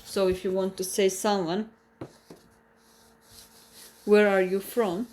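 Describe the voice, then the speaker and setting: A woman with a Croatian accent, a native Croatian speaker, teaching a live online language lesson, explaining slowly and carefully with many restarts and repeated examples. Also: mid-range voice, level tone, a little mellow, slight background noise.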